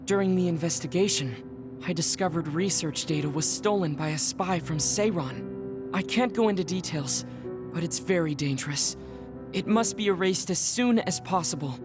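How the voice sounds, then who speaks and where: Guy doing a quiet formal young soldier, careful and worried, game cutscene with music under it. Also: on the high side, normal speed, lightly acted. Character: reserved young male soldier hiding a secret. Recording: game audio with music behind.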